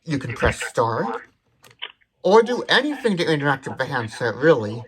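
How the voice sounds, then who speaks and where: A man's voice: mid-range voice, big pitch swings, normal speed, a little hiss or hum, rough recording. A man hosting a hands-on tech demonstration, explaining features step by step in a detailed, methodical way. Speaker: tech demonstration video host. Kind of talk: hands-on product demonstration commentary.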